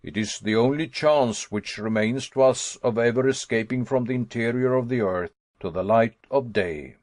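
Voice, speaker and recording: A man's voice: mid-range voice, a little mellow, dry recording, studio quality, close to an everyday voice. A man doing an unflappable old professor. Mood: calm. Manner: cool, measured, faintly amused. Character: calm, unflappable older professor uncle.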